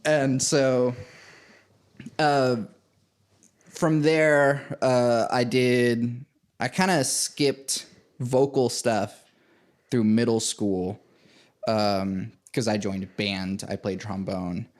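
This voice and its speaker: A man's voice: medium pitch, steady tone, drawn-out words, long pauses. A man from Venezuela, a composer, chatting casually in an interview, rambling with many fillers and frequent laughs. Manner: rambling, full of likes and fillers, laughs often. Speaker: composer and podcast host, interviewed as guest.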